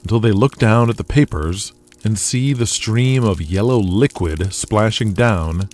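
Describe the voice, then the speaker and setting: A man's voice: lowish voice, unhurried, darkish tone. A man delivering dramatic scripted narration as the narrator of an audio drama.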